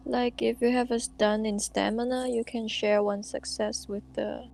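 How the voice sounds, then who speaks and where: A woman's voice: a little high, level tone, normal speed, vocal fry, a little mellow, a little hiss or hum. A woman talking casually as a player in a tabletop roleplaying game. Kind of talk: casual chat.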